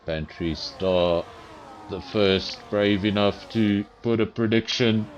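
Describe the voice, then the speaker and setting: Low-voiced man commentating a sim race. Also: steady tone, takes it slowly, lo-fi sound, slight background noise. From South Africa. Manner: chatty, self-deprecating, addresses viewers directly. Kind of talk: live esports race commentary.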